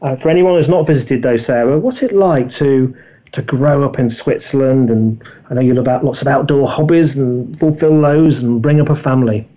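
A man from the United Kingdom hosts an interview show and asks his guest relaxed questions. His voice is mellow and dark. The audio sounds somewhat dull. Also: mid-range voice, moderate pace. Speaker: podcast host. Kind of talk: casual interview conversation.

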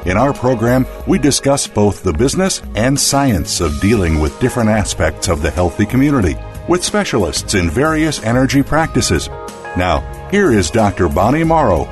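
A man's voice: lowish voice, big pitch swings, normal speed. A man reading a polished, scripted introduction for a radio show. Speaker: show announcer. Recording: voice over clear music.

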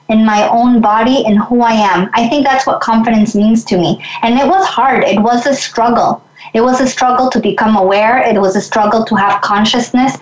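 A woman's voice: on the high side, roomy sound, muffled recording. A woman from Mexico, a guest in an interview, speaking reflectively and earnestly, often restarting her sentences. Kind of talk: conversational interview.

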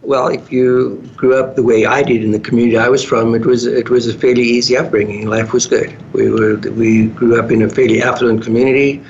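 A middle-aged man from South Africa with a South African accent, answering interview questions in a measured, reflective way with some hesitations. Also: level tone, darkish tone. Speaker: guest, business coach. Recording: dull lo-fi sound with faint rumble.

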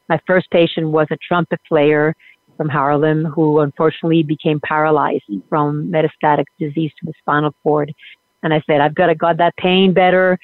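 A woman's voice: deep voice, no echo. Woman neurologist on a tinny phone line. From Puerto Rico. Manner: relaxed, with frequent "uh" pauses. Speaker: guest neurologist. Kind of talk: interview storytelling.

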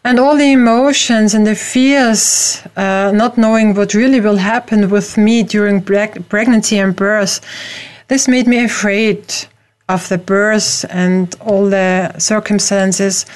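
Slow-speaking Austrian woman host. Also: mid-range voice, fairly even intonation. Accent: Austrian German. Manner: slow, hesitant with many fillers. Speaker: radio show host. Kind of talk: radio talk show chat.

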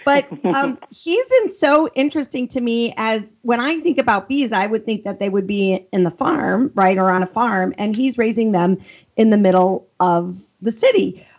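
Chicago woman co-hosting a podcast, mid-pitched voice, long chatty questions, slightly dull recording. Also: normal speed. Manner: friendly, asks long rambling questions. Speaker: podcast co-host. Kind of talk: conversational interview hosting.

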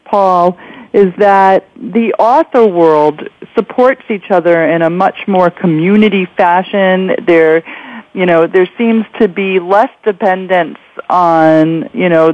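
A woman hosting a conversational interview, speaking in a measured, wordy way with pauses mid-thought. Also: fairly low pitch, muffled recording. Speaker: interview host.

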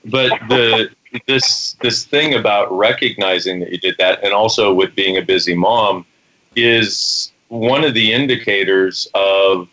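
A man's voice: fairly low pitch, bright, forceful tone, highs cut off. A man co-hosting a radio talk show, joking and laughing with his partner, then explaining ideas to a caller at length. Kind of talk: casual radio chat.

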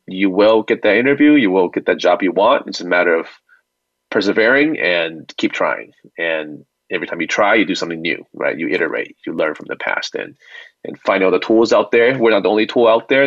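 A man's voice: low-pitched, lively intonation, a little fast, a bit bright, no echo. Muffled, close-dry remote audio: male interview guest, conversational and reassuring, an informal founder type. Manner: informal, reassuring, often checks with "right?". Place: remote call.

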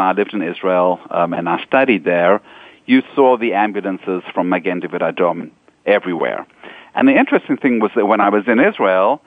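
Deep South African man on a phone-thin line. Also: no echo. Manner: earnest, measured, frequent ums. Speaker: nonprofit executive, guest. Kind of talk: interview answers, personal story. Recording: dry, phone-like narrow sound.

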